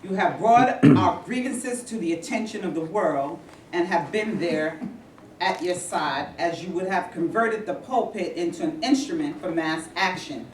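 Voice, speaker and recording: A woman's voice: on the low side, a little slow, natural voice. A woman reading the part of a reverend who speaks respectfully but presses his leader. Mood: pressing. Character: impatient male reverend follower. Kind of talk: staged reading. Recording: echoey room, read from far off, noisy.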